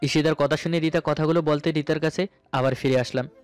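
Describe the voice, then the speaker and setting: A man's voice: a little high, fairly even intonation, quick pace, clear, resonant tone. A man narrating a romantic story dramatically in the first person, as its male lead. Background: background music.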